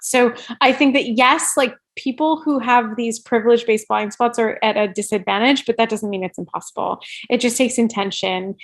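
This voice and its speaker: A woman giving conversational interview answers as an expert guest, informal, often dropping "like" and "right" into her sentences. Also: a little high. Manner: informal, peppers speech with "like" and "right". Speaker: podcast guest, workplace inclusion expert.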